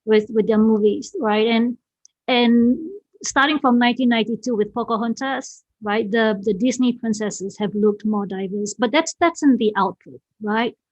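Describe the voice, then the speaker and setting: A woman from Malaysia hosting an online session and presenting informally, haltingly, repeating phrases and often checking in with the listeners. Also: a little high, dry recording. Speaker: event host and speaker. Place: online video meeting. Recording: dull, slightly lo-fi online call audio.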